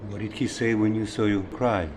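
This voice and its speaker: A man's voice: lowish voice, fairly even intonation, brisk, background noise, natural voice. An actor playing a wounded, jealous older man in love in a film drama, earnest and pressing as he talks. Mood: probing, suspicious. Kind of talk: naturalistic film drama dialogue.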